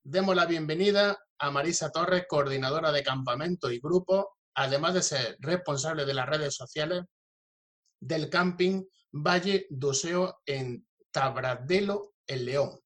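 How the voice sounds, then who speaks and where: A man's voice: a little high, measured pace, fairly bright tone. A man from Andalusia hosting a podcast interview in a friendly, chatty way, often restarting his sentences. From Andalusia, Spain (Jaén). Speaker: podcast host.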